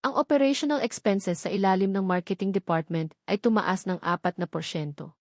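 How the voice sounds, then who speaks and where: A Filipino woman voicing a cold, calm and demanding male chief executive in a narrated love story. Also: medium pitch, fairly quick, no echo, clean studio sound, natural voice. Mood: stern, questioning.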